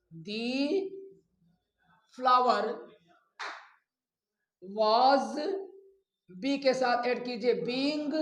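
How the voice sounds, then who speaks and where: A man's voice: very high voice, fairly bright tone. A man with an Indian accent teaching grammar step by step, dictating English examples word by word. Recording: echoey room.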